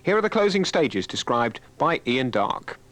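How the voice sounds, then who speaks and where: Unflustered British radio sports presenter. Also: male voice, medium pitch, sing-song, normal speed, noisy background. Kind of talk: live sports results broadcast.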